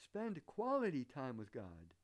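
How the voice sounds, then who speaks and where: Animated Southern-accented American male preacher. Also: medium pitch. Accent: American, picked up Southern. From northern United States. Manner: earnest, deliberate, rhetorical questions. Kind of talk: sermon with illustrative anecdotes.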